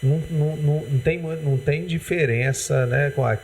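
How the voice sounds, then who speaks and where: A man's voice: fairly high pitch, a little fast, a little mellow, a little hiss or hum, rough recording. A man with a Brazilian accent hosting a TV health interview, asking rambling, hesitant questions full of "né". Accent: Brazilian Portuguese. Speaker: TV host. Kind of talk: interviewing a guest on a community TV health segment. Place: TV studio.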